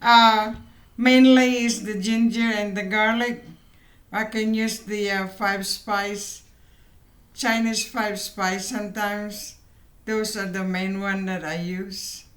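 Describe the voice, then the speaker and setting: Slow-spoken woman, echoey room. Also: medium pitch, fairly even intonation, fairly bright tone. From Philippines. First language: Ilocano. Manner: hesitant, searching for words, giggly. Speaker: vlog co-host. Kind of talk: casual chat.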